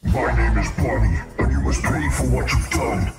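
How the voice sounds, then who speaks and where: A man's voice: fairly low pitch, very animated, moderate pace, gravelly. A man voicing a vengeful, threatening cartoon ghost. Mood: menacing. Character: vengeful, menacing infected ghost. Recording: distant voice under loud electronic music.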